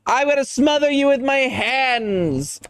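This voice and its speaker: A man's voice: very high voice, expressive, unhurried, a bit bright, smooth, no room sound, studio quality. A man streaming a game in casual chat, rambling and reacting to viewers with goofy asides. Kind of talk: casual chat while gaming.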